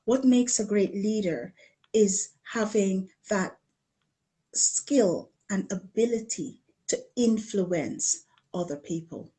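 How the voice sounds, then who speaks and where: A middle-aged woman from Guyana who has lived in the UK, presenting a coaching talk in deliberate phrases, repeating words for emphasis. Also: medium pitch, warm tone. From Guyana, later living in the UK. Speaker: leadership coach presenting a challenge. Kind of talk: live online coaching talk from slides.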